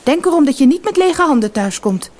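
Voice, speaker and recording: A woman's voice: sits high, animated, brisk, darkish tone, pitched above the performer's own voice. A woman voicing a grumpy, demanding old fisherman's wife in a storybook, scolding and nagging. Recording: noisy old cassette audiobook.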